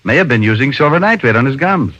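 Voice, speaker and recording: An actor playing a confident, wry private detective with an American accent, reasoning aloud in an old radio drama. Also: male voice, mid-range voice, lively intonation, quick pace, a bit bright, no room sound. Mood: persuasive. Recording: muffled old radio recording.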